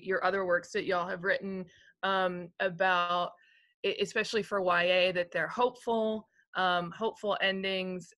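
A woman with a bright, forceful voice moderates a panel over a video call. She speaks in measured phrases with frequent ums. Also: lowish voice. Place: home room, video call.

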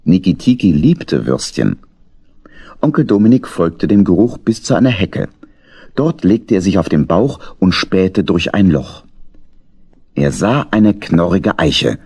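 A man with a low, husky voice narrates a children's audio play. His intonation moves widely, and the sound is dry and close. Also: big pitch swings, a little slow, dark tone, no echo. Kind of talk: scripted children's story narration.

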